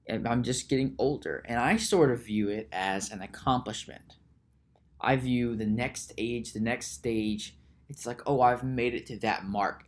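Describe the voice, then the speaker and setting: Slow, halting man musing aloud. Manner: musing, slow and halting, with frequent restarts and repeated words; reads search results aloud in a low mutter. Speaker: podcast host. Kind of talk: solo podcast monologue.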